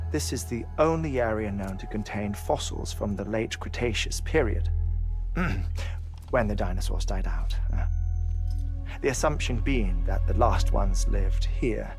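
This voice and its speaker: An actor playing a grieving, eccentric middle-aged scientist in a video game, speaking in a measured, scholarly way. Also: male voice, medium pitch, gravelly. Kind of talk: dramatic video game cutscene acting. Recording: music behind the voice.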